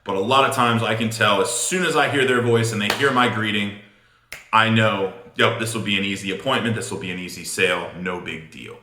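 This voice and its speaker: A man's voice: fairly low pitch, fairly even intonation, fairly quick, pushed and bright, slight background noise. A man giving an instructional sales talk as a coach, emphatic and informal, blunt, repeating words for punch. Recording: roomy, echoing room sound.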